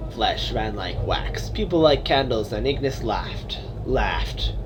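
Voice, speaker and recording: A man voicing a mad, filthy male storyteller who speaks in hushed, ominous tones and repeats his own phrases. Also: medium pitch, animated, takes it slowly, noisy background, pitched below the performer's own voice.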